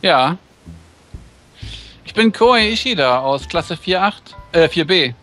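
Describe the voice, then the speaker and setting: A man's voice: on the high side, animated, slow pace, bright, forceful tone, faint music behind, exaggerated voice. A man playing a friendly, polite and slightly hesitant schoolboy love interest in a visual novel. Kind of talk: visual novel dub reading.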